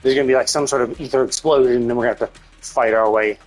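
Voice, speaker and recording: A man doing casual live game commentary, speculating and thinking out loud, with some casual swearing. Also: medium pitch, fairly even intonation, very fast. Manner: casually profane. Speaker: game livestreamer.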